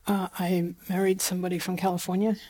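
A woman's voice: on the low side, fast talker. A middle-aged woman from New Zealand with a Kiwi accent, a guest talking casually in an interview, with loose fillers and restarts. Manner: relaxed, frequent fillers and restarts, light laughs. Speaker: guest, genetic genealogist.

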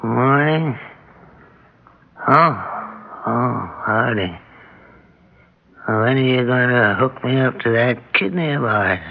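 An actor playing a dying, weary middle-aged doctor, wry and tender, in a 1960s radio drama. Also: male voice, mid-range voice, animated, creaky, heavily acted. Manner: slow, wry, wistful. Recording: dull old radio recording with music.

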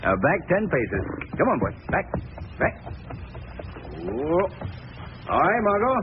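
A man's voice: high voice, wildly swooping pitch, slow, full character voice. An actor playing a genial, wealthy young man about town in a 1940s radio drama. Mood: cheerful, bantering. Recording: tinny, noisy old radio recording.

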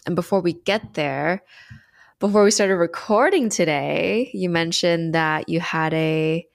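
A woman's voice: lowish voice, unhurried, vocal fry. A young woman co-hosting a podcast in casual chat, playful and giggly. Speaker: podcast co-host.

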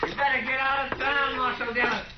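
A man's voice: extremely high pitch, flat delivery, a little fast. An actor playing a male gang member who taunts and cackles at a lawman in a 1950s radio drama. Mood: taunting. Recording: dull 1950s radio broadcast.

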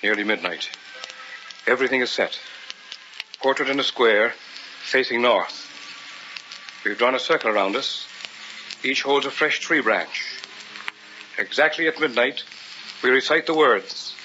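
An actor playing a zealous, commanding occultist in a theatrical, urgent old-time radio horror style. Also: male voice, medium pitch, a little slow, long pauses, bright, forceful tone, slight background noise. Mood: eager, solemn. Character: zealous, commanding male occultist, obsessed with a ritual. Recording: dull old radio recording, music behind.

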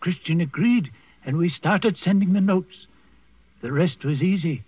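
Husky, high-voiced old servant conspirator. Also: male voice, a little slow, no room sound. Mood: grimly confiding. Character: old Danish servant, secret underground plotter, quietly defiant. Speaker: first-person storyteller in a radio play. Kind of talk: 1940s radio acting. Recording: muffled 1940s radio recording with music.

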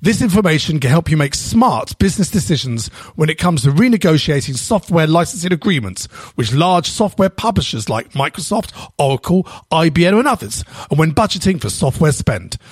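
Husky male advert voice-over, dry. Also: fairly high pitch, sing-song, a little fast, few pauses, dry recording. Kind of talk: scripted advert narration.

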